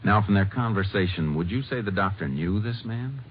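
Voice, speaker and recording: Actor as a very low-voiced, laconic Texas Ranger. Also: male voice, expressive, normal speed, natural voice. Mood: probing. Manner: terse, polite, businesslike. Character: steady, courteous, laconic male Texas Ranger investigator. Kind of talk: 1950s radio drama acting. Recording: tinny, hissy old radio broadcast.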